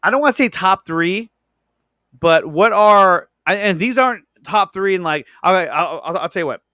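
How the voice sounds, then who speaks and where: Bright, higher-pitched man hosting movie chat. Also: expressive, moderate pace, dry recording, clean studio sound. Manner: profane, slangy, enthusiastic. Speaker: podcast host.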